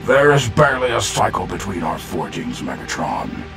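A man playing a solemn robot leader with an extremely deep, rough, gravelly voice. He speaks slowly and formally, his pitch moving a lot with earnest feeling. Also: wildly swooping pitch, music in the background, full character voice. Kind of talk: fan comic dub.

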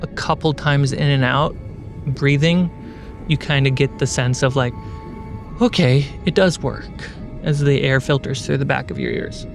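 A man narrating a tabletop game as game master, telling the story deliberately and dramatically with pauses. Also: medium pitch, creaky. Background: background music.

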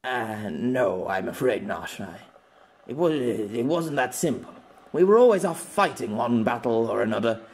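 A man voicing a proper, flustered older former knight in a storybook reading, formal and halting. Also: medium pitch, lively intonation. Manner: formal, sighing, halting when embarrassed.

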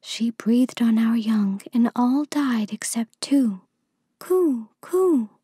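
Lady doing a cooing storybook pigeon, high and husky, kinda eerie and gossipy. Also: expressive, slow, dark tone, dry recording, clean studio sound, heavily acted. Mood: cooing, informative. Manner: cooing, sing-song calls. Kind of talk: storybook character voice.

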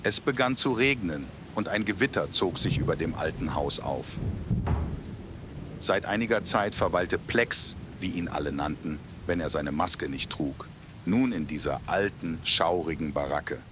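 A man's voice: low-pitched, lively intonation, a little slow, background noise. A man reading scripted narration for an audio drama in a storytelling manner. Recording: heavy ambient sound effects under voice.

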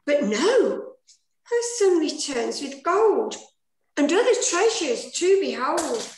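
High, measured woman narrator in echoey room. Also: very animated, darkish tone. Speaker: director and fairy narrator. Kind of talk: rhyming pantomime narration, scripted. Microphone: computer mic on video call. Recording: echoey room on video call.

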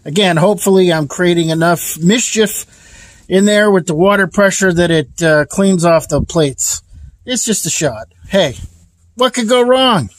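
A somewhat high-voiced man talks through a do-it-yourself car repair outdoors in a rambling, down-to-earth way, pausing often with fillers. His voice is close and dry, picked up by a handheld phone. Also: no room sound. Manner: rambling, plainspoken, frequent uh fillers. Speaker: DIY car repair vlogger. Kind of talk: casual hands-on repair walkthrough. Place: outdoors at a car engine bay.

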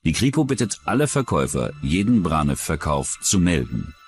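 A man's voice: low-pitched, big pitch swings, moderate pace, soft background music. A man narrating a true-crime documentary in a dramatic scripted style.

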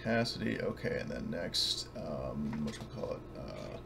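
A man hosting a tabletop roleplaying game as game master, talking casually in a halting, hesitant way. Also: rumbling low, big pitch swings, creaky, very noisy.

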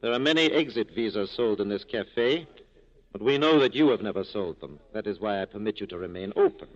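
An actor playing a wryly corrupt police captain with an urbane, teasing, courtly manner, in 1940s radio style. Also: male voice, fairly low pitch, expressive, brisk. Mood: wry, self-assured. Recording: muffled 1940s radio broadcast recording.

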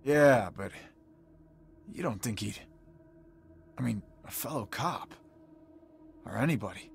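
Uneasy cop with creaky voice. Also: male voice, mid-range voice, expressive, slow, a little hiss or hum. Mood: hesitant. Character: hesitant, uneasy male police officer. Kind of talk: video game voice acting.

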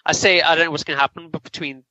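A man's voice: fairly high pitch, steady tone, rapid-fire, pushed and bright, no room sound, lo-fi sound. A man hosting a casual film review podcast, chatting in an opinionated, informal way. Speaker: podcast host.

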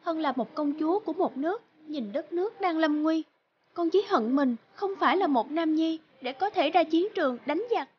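Woman as a very high-voiced brave young princess. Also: moderate pace, muffled recording, a little hiss or hum, lightly acted. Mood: earnest, resolute. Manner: earnest, formal. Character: brave, dutiful young princess, resolute and commanding. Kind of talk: cartoon folk tale dialogue.

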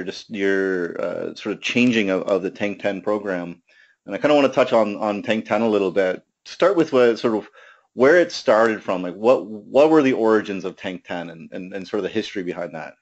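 A male podcast host with a fairly low voice asks his questions casually. He restarts his sentences often, and the recording sounds a little muffled. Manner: halting, many restarts and fillers. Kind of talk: casual interview.

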